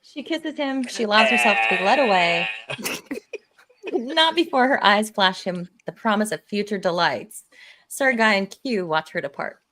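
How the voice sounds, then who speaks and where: A woman's voice: medium pitch, animated, unhurried, sharp tone. A woman reading stage directions as narrator in a live amateur table read, with a dramatic storytelling manner.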